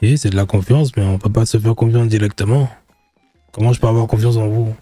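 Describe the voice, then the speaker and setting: A man voicing a cautious, streetwise buyer who bargains deliberately in casual speech. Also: on the low side, fairly even intonation, dark tone, dry recording, faint music behind, close to an everyday voice. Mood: wary haggling. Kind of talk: roleplay in character.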